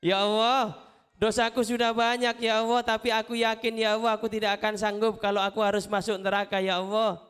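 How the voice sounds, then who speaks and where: A man giving an animated, interactive Islamic motivational talk to schoolchildren, asking questions and repeating phrases. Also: sits high, a little fast, clear, resonant tone. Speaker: children's storyteller and motivational speaker. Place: live event at a school before children.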